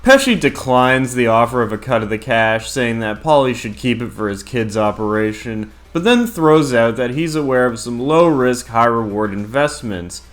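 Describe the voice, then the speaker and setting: A man's voice: medium pitch, lively intonation, normal speed, hardly any pauses, fairly bright tone. A man hosting a countdown, giving casual, opinionated scripted narration with crude, profane humor. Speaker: host of an entertainment countdown video.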